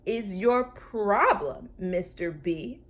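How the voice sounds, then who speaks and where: A woman's voice: mid-range voice, animated, slow pace, fairly bright tone. A woman voicing a bossy, snippy little girl character from a children's storybook, speaking emphatically.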